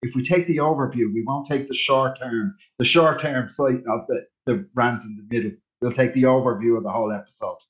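A man's voice: mid-range voice, brisk, few pauses, dull audio. A man co-hosting a podcast in casual chat, reflective and agreeable, with long rambling sentences. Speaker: podcast co-host.

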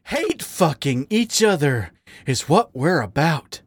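Guy doing a needling lovesick raccoon in an audio story, kinda slow, swoopy, dry close sound. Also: fairly high pitch, very animated, slightly rough, dry recording, heavily acted. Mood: resigned. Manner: goading, pleading, world-weary. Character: bitter, needling, lovesick male raccoon drinker. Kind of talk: dramatic audiobook character reading.

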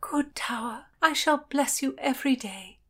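A woman acting a plucky, desperate young fugitive, high-voiced. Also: measured pace. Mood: grateful. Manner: exclamatory, pleading, formal old-fashioned speech. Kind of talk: audiobook character acting.

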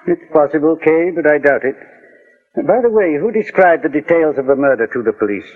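An actor playing a composed, authoritative American private investigator in a 1940s radio drama, speaking formally and deliberately. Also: male voice, fairly high pitch, lively intonation, phone-quality sound. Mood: calm, probing. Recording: thin, band-limited 1940s radio recording.

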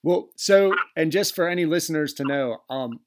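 A man's voice: a little high, normal speed. Laid-back, cursing male podcast host. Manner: laid-back, swears freely, laughs often. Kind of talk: casual interview chat. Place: home in Delaware. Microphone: video call.

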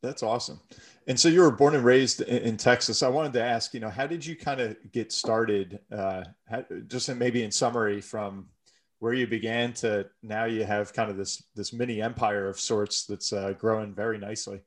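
A man's voice: lowish voice, steady tone, normal speed. A man hosting a podcast interview in a casual, agreeable way, with informal and loosely phrased remarks. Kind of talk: casual chat.

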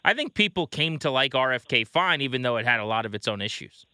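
A man's voice: medium pitch, expressive, quick pace, bright, forceful tone, clear, resonant tone, no room sound, clean studio sound. An American man hosting a baseball show, interviewing in a casual, conversational way.

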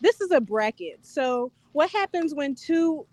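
A soft-spoken woman from Houston, calling into a casual online chat and giving her opinions bluntly and without apology. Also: sits high, expressive, a little slow, no echo. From Houston, Texas. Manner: blunt, unbothered, self-assured. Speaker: guest caller. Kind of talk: casual audio chat room banter.

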